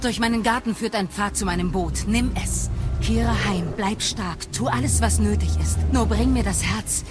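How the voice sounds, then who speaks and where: A woman's voice: mid-range voice, loud music behind the voice, lightly acted. A woman playing a stern, solemn goddess and healing witch in a video game audio drama, grave and commanding. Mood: urgent, commanding. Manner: commanding, grave, deliberate phrasing.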